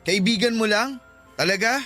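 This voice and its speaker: A man's voice: high-pitched, normal speed, bright, forceful tone. A man playing a jealous, clingy and desperate boyfriend in a radio drama, insistent in manner. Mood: suspicious, jealous. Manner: insistent, pleading. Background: music.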